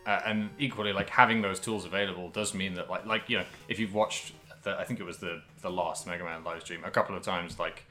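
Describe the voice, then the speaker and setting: A man co-hosting a podcast, casually talking through video games in a halting, thinking-aloud way. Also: deep voice, steady tone, pushed and bright, faint music behind. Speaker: podcast host. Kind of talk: casual video game discussion.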